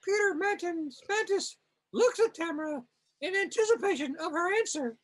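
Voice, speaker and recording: A woman's voice: extremely high pitch, expressive, normal speed. A woman casually narrating comic panels in a wry, amused, improvised way. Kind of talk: improvised, casual scene description.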